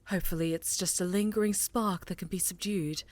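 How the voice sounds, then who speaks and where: A woman playing a stern, highly strung witch leader in a fantasy ASMR roleplay, speaking formally and with command to a pupil. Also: fairly even intonation, a little fast, dry recording, natural voice. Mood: grave, resolute.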